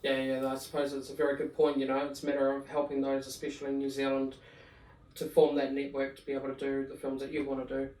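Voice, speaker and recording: A man hosting a casual interview, asking quick, chatty questions. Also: medium pitch, monotone, a little mellow. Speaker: interview host. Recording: roomy, distant mic.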